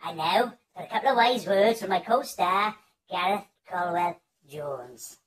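A festive bear acted by a man presents a pantomime like a grand showman. The delivery is theatrical and slow, with big swoops of pitch in a high register. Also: lively intonation, a bit bright, slight background noise. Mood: cheery. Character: festive pantomime bear welcoming the audience in rhyme. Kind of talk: theatrical rhymed pantomime prologue.

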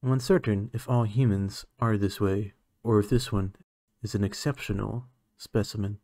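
A man playing an aloof, condescending alien scientist in an ASMR roleplay, speaking in a clinical, measured, haughty manner. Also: fairly low pitch, steady tone, dark tone, no echo, studio quality, close to an everyday voice. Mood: clinical, musing. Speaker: voice actor.

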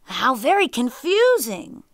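A woman's voice: high-pitched, wildly swooping pitch, slow pace, no room sound, clean studio sound, pitched above the performer's own voice, exaggerated voice. A woman voicing a sly jackal in a children's folktale, acting confused and dithering with exclaimed, puzzled delivery. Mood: feigned confusion. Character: sly jackal feigning muddled, dim-witted confusion. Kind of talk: animated children's folktale storytelling.

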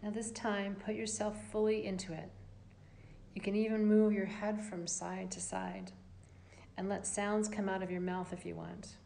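A woman leading a guided yoga relaxation as an instructor, speaking in a calm, measured, gently directive way with long pauses. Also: medium pitch, vocal fry, a little hiss or hum.

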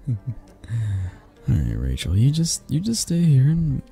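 A man playing a possessive mafia boss in a romantic ASMR audio roleplay, speaking haltingly with sighs and pointed pauses in a low, breathy, husky voice. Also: wildly swooping pitch, mellow, soft background music, full character voice. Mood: mocking contempt. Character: possessive, menacing young mafia boss, softening into awkward tenderness.

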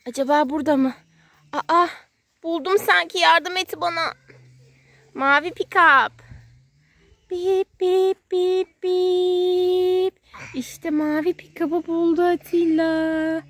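A woman's voice: extremely high pitch, fairly even intonation, takes it slowly, long pauses, a bit bright, dry recording. A woman playfully narrating toy play for a small child outdoors, in simple, repeated, sing-song phrases and questions. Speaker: parent playing with a young child on a kids' channel. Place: outdoors at a sand and gravel pile.

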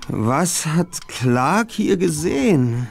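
An actor playing a frightened teenage boy detective in an adventure game, with a dramatic, drawn-out delivery. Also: on the high side, very animated, creaky, heavily acted. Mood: musing, uneasy. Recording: music behind the voice.

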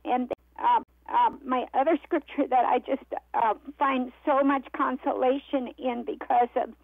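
A woman from the American Midwest, a guest author, telling her life story on a radio program in an earnest, halting way with many fillers, heard over a telephone. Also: sits high, fairly even intonation, creaky, dry recording. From Iowa and Missouri, United States. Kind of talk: personal testimony in a radio interview. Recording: tinny phone line, dry.

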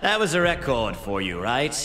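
An actor playing a mocking, villainous spymaster in a fantasy game, with a theatrical, sardonic delivery that draws words out. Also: male voice, medium pitch, very animated, sharp tone, soft background music. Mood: gleeful mockery. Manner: theatrical, drawn-out, sardonic, swooping. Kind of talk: dramatic fantasy game voice acting. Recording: long hall-like reverb.

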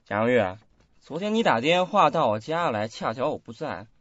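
An actor playing a cold, indignant young husband in a radio drama, stern, articulate and accusing. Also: male voice, medium pitch, big pitch swings, normal speed, fairly bright tone, no room sound. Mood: cold, confronting. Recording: dull lo-fi audio, faint music.

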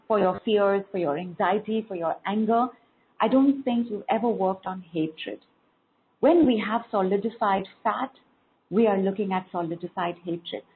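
A woman wellness coach giving an emphatic motivational teaching talk, repeating key words in rhythmic lists. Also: mid-range voice. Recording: tinny phone-like sound.

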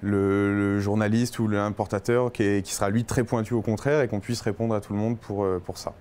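A man's voice: on the low side, steady tone, a little fast, darkish tone, smooth. A man in his thirties from Burgundy, answering interview questions informally and a little hesitantly, repeating words. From Burgundy, France. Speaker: restaurateur and wine merchant interviewed. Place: indoors in a restaurant and wine shop.

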